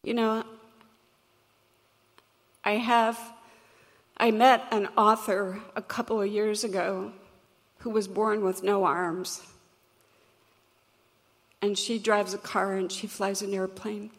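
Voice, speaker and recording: A woman with a crackly voice, telling a personal story to an audience in an earnest, reflective, measured way. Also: medium pitch, level tone. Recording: long hall reverb.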